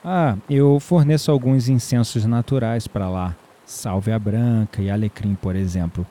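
Um ator brasileiro interpretando um homem tranquilo, brincalhão e sedutor numa áudio série, em tom de conversa. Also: male voice, lowish voice, unhurried, dark tone, natural voice. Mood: relaxed, fond. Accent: Brazilian. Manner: teasing, chuckling, conversational. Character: easygoing, flirtatious man, herb grower, playful and warm. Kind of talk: audio drama dialogue.

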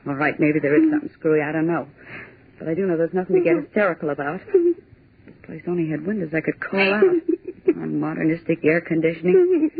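A woman's voice: low-pitched, very animated, creaky, slightly rough, heavily acted. An actress playing a brash, sarcastic young woman typist in a 1940s radio drama, with a scoffing, bossy way of talking. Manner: scoffing, bossy, quick retorts. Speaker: office typist. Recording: tinny 1940s radio broadcast.

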